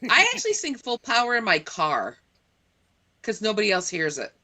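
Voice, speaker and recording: A woman's voice: medium pitch, huge pitch swings, moderate pace, pushed and bright. A woman hosting a casual podcast and stream, chiming in with short agreeable reactions. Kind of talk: casual chat.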